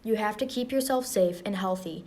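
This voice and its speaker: A young woman with a mid-range voice reads an uplifting passage aloud for students. Her delivery is calm and encouraging, and she turns quick and chatty when she explains the activity. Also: medium pitch. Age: young adult. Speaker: student reader. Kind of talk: reading a book aloud, then leading a class activity.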